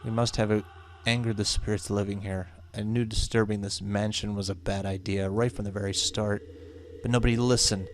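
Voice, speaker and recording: A man's voice: fairly low pitch, flat delivery, brisk, slight background noise, close to an everyday voice. A man voicing a nervous, superstitious young real estate agent in a horror visual novel, with dramatic, exasperated delivery. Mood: panicky, fed up.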